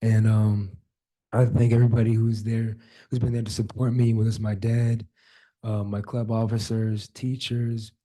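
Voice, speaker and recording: A young man with a raspy voice giving a short, hesitant thank-you speech as a student award recipient. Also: lowish voice, level tone, moderate pace, warm tone. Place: school board meeting room, speaking at a microphone.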